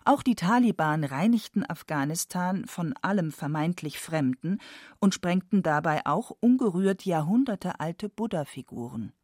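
A woman's voice: lowish voice, animated, moderate pace, a little mellow, no room sound, studio quality. A woman reading scripted narration as a documentary narrator.

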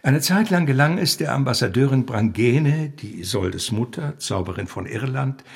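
A man reads literary prose aloud in a mid-pitched voice. The tone is husky, with a marked gravelly creak, and the delivery is that of a storyteller working from a written text. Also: expressive, moderate pace. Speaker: reader.

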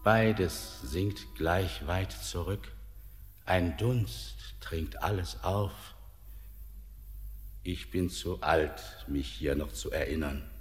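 Old eerie tempter, slow and sardonic, lowish voice, long reverb, faint music. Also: male voice. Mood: brooding. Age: older. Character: ancient eerie male miner, mocking tempter. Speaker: old mountain spirit-miner Torbern. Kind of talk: classic radio play acting.

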